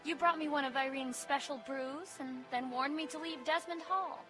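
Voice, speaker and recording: A woman's voice: sits high, fairly even intonation, normal speed, music in the background, lightly acted. A woman playing a defiant, devoted young heroine, firm and earnest, in a gothic audio drama. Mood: indignant.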